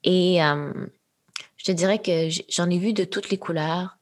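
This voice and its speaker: Soft-spoken woman, slightly husky. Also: on the low side. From Quebec, Canada. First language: Khmer. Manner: thoughtful, measured, with frequent fillers. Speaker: guest, AI entrepreneur. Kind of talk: interview conversation.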